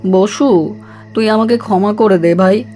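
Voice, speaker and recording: An earnest, apologetic little boy novice in a radio drama, played by a woman. The childlike voice sits at a medium female pitch, with soft music behind it. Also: a little slow, lightly acted.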